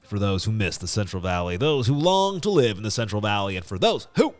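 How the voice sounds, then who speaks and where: Animated low-voiced American man hosting a podcast. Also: a little fast. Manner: joking, theatrically expressive. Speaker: podcast host. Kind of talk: comedic casual podcast chat.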